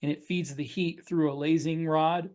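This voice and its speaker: A man's voice: on the high side, fairly even intonation, moderate pace, darkish tone. A man chatting as an author on a panel, explaining things casually in short pieces. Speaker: science fiction author on a panel podcast.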